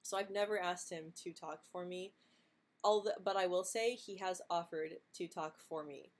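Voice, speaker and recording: Soft-spoken young woman who stutters. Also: on the low side, moderate pace. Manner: stutters with frequent sound and word repetitions; soft-spoken, laughs lightly between answers. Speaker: vlogger answering viewer questions live. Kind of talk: live stream Q&A, casual chat.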